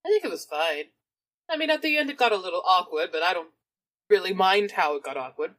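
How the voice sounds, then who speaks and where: A woman's voice: lowish voice, animated, fast, fairly bright tone. A woman playing a tabletop roleplaying game, casually improvising and describing what her character does.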